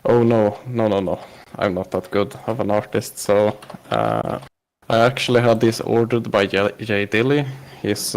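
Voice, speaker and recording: A man in his thirties speaks with a Swedish accent, his voice gravelly and dragged down by a cold. He answers questions casually but haltingly, with lots of pauses and restarts, since English is his second language. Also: fairly low pitch, darkish tone. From Sweden. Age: 30s. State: has a cold. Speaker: podcast guest. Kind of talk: casual interview chat.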